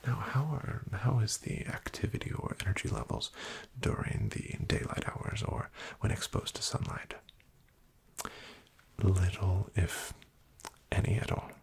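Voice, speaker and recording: Slow whispering man, gravelly. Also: fairly low pitch. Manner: slow, hushed and wryly deadpan, with soft fillers. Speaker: ASMR roleplay host playing a doctor. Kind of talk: whispered ASMR roleplay.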